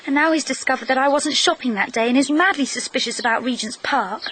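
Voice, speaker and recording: A self-possessed, sharp-witted British actress and wife in a radio mystery. Her voice is fairly high, her delivery dry and offhand. There is noise in the background. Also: fast. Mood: pensive.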